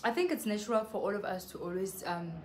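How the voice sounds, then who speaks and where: A young woman from South Africa with a soft voice, chatting earnestly to her viewers on a casual vlog. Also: medium pitch, lively intonation, fast, background noise. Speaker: YouTuber. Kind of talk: casual vlog chat to camera. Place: covered patio outside a house.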